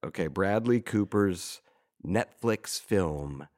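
A hoarse man co-hosting a comedy podcast, chatting casually and joking wryly. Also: low-pitched, slow. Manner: wry, joking, animated. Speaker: podcast co-host.

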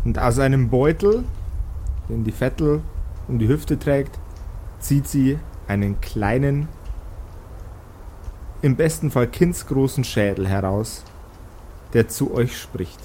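Slow, deliberate male game master. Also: mid-range voice, dark tone, a little hiss or hum. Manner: deliberate, pausing between phrases. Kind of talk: improvised tabletop game narration.

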